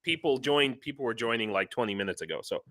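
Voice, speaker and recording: A man's voice: medium pitch, expressive, quick pace, a bit bright, dry recording. A man hosting a casual livestream interview, rambling a little and often repeating himself as he feels for his words. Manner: hesitant, rambling, repeats phrases.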